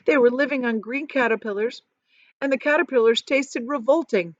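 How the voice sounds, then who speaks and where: A woman voicing an eccentric, proud and excitable male chocolate factory owner in a theatrical, emphatic storybook manner. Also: sits high, normal speed, dry recording, dull audio, pitched above the performer's own voice, close to an everyday voice. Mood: dramatic, pitying. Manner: theatrical, emphatic, stretching key words.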